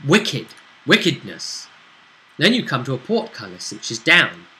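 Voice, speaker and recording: A man's voice: mid-range voice, huge pitch swings, measured pace, pushed and bright, poor audio. A man acting as game master, casually recapping a tabletop role-play session and checking in with his player as he goes. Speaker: game master and host of the vidcast. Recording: faint background noise.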